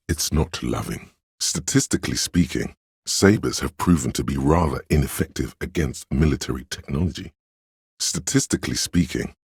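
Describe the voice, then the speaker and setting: An extremely low, gravel-edged male voice plays the learned inner encyclopedia of a video game character's mind. He lectures in a measured, pedantic manner, matter-of-fact with dry asides. The sound is very husky and close, with no room around it. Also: darkish tone, no echo, full character voice. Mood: measured, informative. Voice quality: very deep, husky. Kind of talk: scripted video game narration of trivia.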